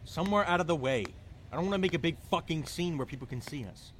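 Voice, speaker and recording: A man's voice: on the high side, animated, quick pace, a little hiss or hum, close to an everyday voice. A man playing an angry, suspicious best friend in an audio drama, curt and confrontational. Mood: curt, tense.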